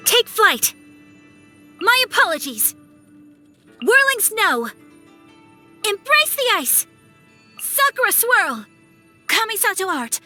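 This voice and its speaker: A woman's voice: very high voice, very animated, slow pace, long pauses, soft background music, full character voice. A woman voicing a refined, dutiful young noblewoman swordswoman from a video game, formal, poised and reserved in manner. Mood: battle cries. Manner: formal, poised, polite, softly earnest. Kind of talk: video game character voice lines.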